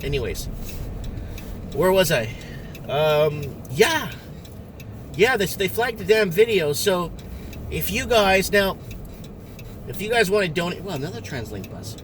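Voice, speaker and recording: A man with a fairly high voice talks casually to his viewers in a loose, rambling vlog monologue. He is opinionated and emphatic, circling back and repeating himself. Clear vehicle and road noise runs behind him. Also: big pitch swings, unhurried, pauses often. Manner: emphatic, opinionated, repetitive, digressive. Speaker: vlogger. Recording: noisy outdoor roadside with vehicle noise.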